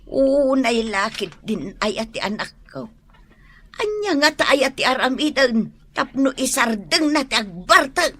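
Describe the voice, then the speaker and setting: A woman's voice: sits high, big pitch swings, a little slow, a bit bright, a little hiss or hum, heavily acted. A woman acting as an older, concerned mother who admonishes her grown son in a radio drama, with an Ilocano accent. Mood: resigned worry. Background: music.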